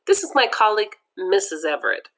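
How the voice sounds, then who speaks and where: A woman voicing a friendly, easygoing male teacher and club advisor in a storybook read-aloud, relaxed and welcoming. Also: mid-range voice, a little fast, voice pushed up.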